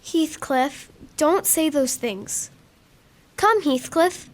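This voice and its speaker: A woman playing a gentle, pleading young girl given to make-believe in an audio drama. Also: sits high, expressive, drawn-out words, heavily acted. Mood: coaxing.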